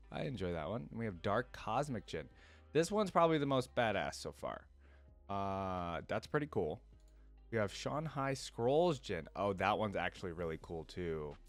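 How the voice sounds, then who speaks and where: A man's voice: lowish voice, no echo. A man hosting a reaction video, giving casual commentary and sometimes reading descriptions aloud.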